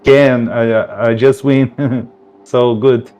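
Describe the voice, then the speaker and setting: A young Brazilian man with a Brazilian Portuguese accent, racing winner giving a post-race interview over a headset, searching for words in halting English. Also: mid-range voice, fairly even intonation, darkish tone. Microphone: headset over voice chat.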